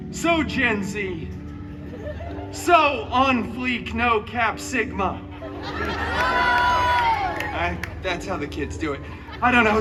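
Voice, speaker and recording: A man performing comic live storytelling as a costumed theme park entertainer, theatrical and sarcastic, with wry asides. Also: extremely high pitch. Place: outdoor theme park show. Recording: distant live amplified sound over loud music. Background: music.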